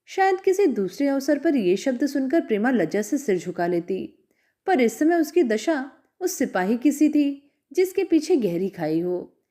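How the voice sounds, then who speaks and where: A woman storyteller narrates a classic tale in a measured, expressive reading. Her voice is mellow and warm, pitched a little high, with lively rises and falls.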